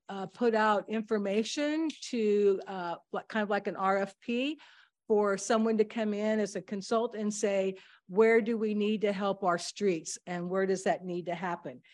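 A woman with a loud voice giving a public comment at a city council meeting, plain and direct with many fillers. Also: medium pitch.

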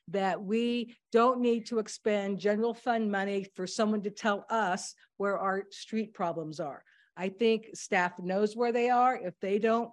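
A woman with a loud voice giving a public comment at a city council meeting, plain and direct with many fillers. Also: medium pitch.

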